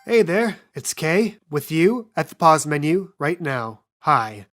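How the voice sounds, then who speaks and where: A woman's voice: sits low, very animated, slow, music in the background. A woman hosting a tabletop podcast as game master, making casual, chatty announcements.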